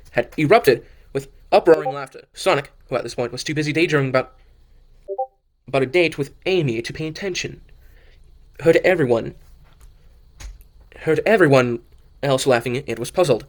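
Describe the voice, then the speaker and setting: A man's voice: medium pitch, moderate pace, long pauses. A man narrating a fan audio drama as an amateur, often stumbling over and repeating words as he reads. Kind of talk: amateur story reading.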